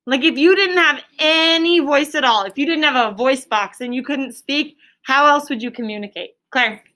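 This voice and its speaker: A woman's voice: high-pitched, normal speed, pushed and bright. A woman teaching an elementary class, quizzing pupils in a firm, directive manner. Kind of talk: classroom lesson with question and answer.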